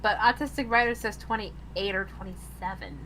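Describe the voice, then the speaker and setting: A woman's voice: medium pitch, normal speed, a bit bright, poor audio. A woman in her twenties in casual, teasing chat with friends on a stream. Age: 20s. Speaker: streamer chatting during a drawing stream. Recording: faint background noise and hum.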